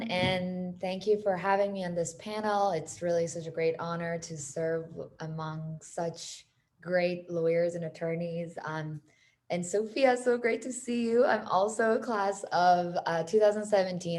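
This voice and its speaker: Mellow-voiced woman from Iran. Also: on the low side, steady tone, unhurried. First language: Persian. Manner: friendly, many fillers. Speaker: panelist, lawyer. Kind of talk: panel talk over video call.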